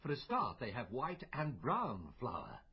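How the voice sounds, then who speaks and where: An actor with a British accent playing a wry, musing, courteous investigating doctor in a radio drama. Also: male voice, mid-range voice, huge pitch swings, unhurried, slightly rough, highs cut off, exaggerated voice. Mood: musing, persuasive.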